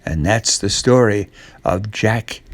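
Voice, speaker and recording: A man's voice: on the low side, takes it slowly, noisy background. An older man reading a children's story as a scripted narration.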